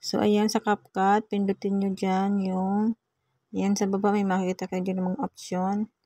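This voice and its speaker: Filipino woman, even-toned and softly mellow. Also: medium pitch, normal speed, no echo, clean studio sound. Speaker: vlogger giving a phone editing tutorial. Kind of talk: casual step-by-step tutorial.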